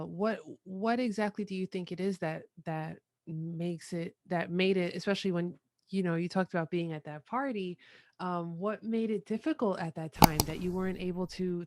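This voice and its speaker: A woman's voice: lowish voice, a little mellow. A woman hosting a podcast, asking long questions with restarts in casual talk. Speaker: podcast host. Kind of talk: casual interview.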